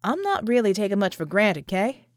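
A woman voicing a skeptical, wary American man with a gruff, folksy drawl, in an audiobook story. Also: sits high, sing-song, moderate pace, no echo, studio quality. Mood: exasperated.

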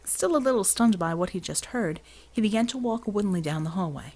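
A woman's voice: medium pitch, animated, brisk, a little mellow. A woman reading a fiction audiobook as scripted narration.

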